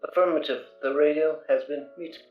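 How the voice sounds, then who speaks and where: A flat, formal machine voice that announces status reports, as a performer puts it on. The delivery is precise and official. It rings in a roomy space, a little dull, with faint guitar music. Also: medium pitch, normal speed, lightly acted. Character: computer voice of a pony's suit, machine.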